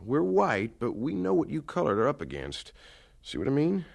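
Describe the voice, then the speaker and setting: A kindly country foreman in a radio drama. He talks expansively in a folksy, plain-spoken way, with a very lively range of pitch and some gravel in the voice. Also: normal speed, heavily acted. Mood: chatty, genial. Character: John, kindly talkative plain-spoken rural Midwestern mill foreman. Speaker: actor in an audio drama. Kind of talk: dramatized radio play acting.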